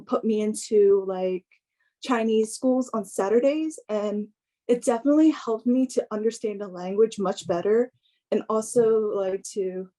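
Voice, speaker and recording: A young woman giving an informal personal talk in a hesitant, halting way, often filling in with "like". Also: mid-range voice, dark tone. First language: English. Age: young adult.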